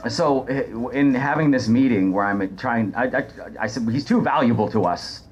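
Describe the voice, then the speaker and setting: A man's voice: mid-range voice, normal speed, a little hiss or hum. A man telling comic stories casually as a podcast guest, with a chuckling, stammering delivery.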